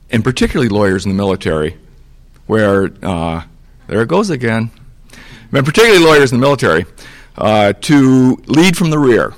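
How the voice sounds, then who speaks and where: A man speaks as a panelist to an audience in a mid-pitched voice. His delivery is halting, and he repeats phrases for emphasis. The sound is close and dry, with little room in it. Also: no echo. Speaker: panelist, lawyer.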